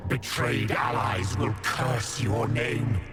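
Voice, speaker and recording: A man's voice: fairly low pitch, fairly even intonation, slow pace. A man voicing a scheming, taunting envy demon in a video game, with a theatrical, threatening delivery. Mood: ominous. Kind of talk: dramatic video game villain acting. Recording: loud music over the voice.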